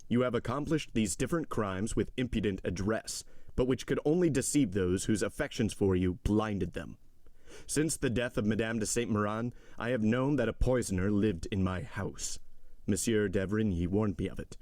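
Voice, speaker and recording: A man's voice: on the low side, normal speed, darkish tone, dry recording, natural voice. A man voicing a stern, imperious magistrate in an audiobook drama, coldly accusing his wife with harsh, contemptuous command. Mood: grave, accusing. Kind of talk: dramatic audiobook character reading.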